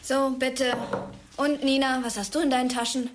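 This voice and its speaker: A young woman acts a sharp-tongued teenage girl in a radio drama. Her voice is fairly high, challenging and sarcastic, with noise clearly behind it. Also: flat delivery, moderate pace, close to an everyday voice. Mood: defiant. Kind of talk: German radio drama acting.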